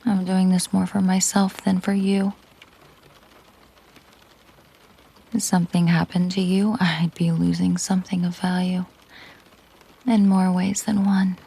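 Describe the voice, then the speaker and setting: A woman playing a possessive, commanding mafia boss girlfriend in an intimate roleplay audio drama, speaking softly and deliberately, often slipping into a whisper. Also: lowish voice, flat delivery, vocal fry, husky. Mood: protective, possessive. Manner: slow, deliberate, coolly possessive.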